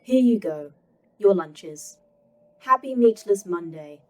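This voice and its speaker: A woman's voice: mid-range voice, lively intonation, slow, faint music behind. A woman voicing a cheerful female A.I. that runs a secret facility, eager to please, heard from a distance.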